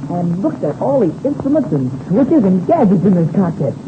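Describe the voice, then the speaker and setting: A man's voice: high-pitched, sing-song, normal speed. An actor playing a wide-eyed, inquisitive teenage boy pilot with gee-whiz eagerness in a 1930s radio serial. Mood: eager wonder. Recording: scratchy, tinny old-time radio recording, noisy.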